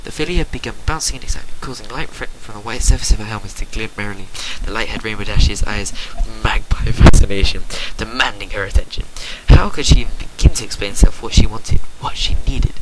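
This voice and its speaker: A man's voice: fairly low pitch, fast, few pauses. A man giving an unrehearsed dramatic story reading, amused, often stumbling and correcting himself, in a noisy recording. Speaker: amateur story reader. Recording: loud background noise with clicks.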